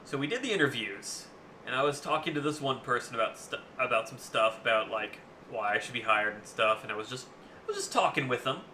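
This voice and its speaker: A young man with a booming voice, rambling through a casual story as a streamer, swearing freely with lots of fillers. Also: lively intonation, brisk, bright, forceful tone, a little hiss or hum.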